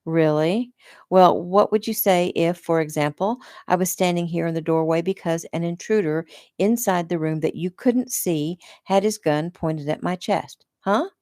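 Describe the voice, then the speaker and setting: A woman's voice: fairly low pitch, normal speed, a little mellow, no echo, clean studio sound, close to an everyday voice. A woman reading the part of a police lieutenant with a firm, scolding manner. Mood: stern. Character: man, police lieutenant, stern but good-humored.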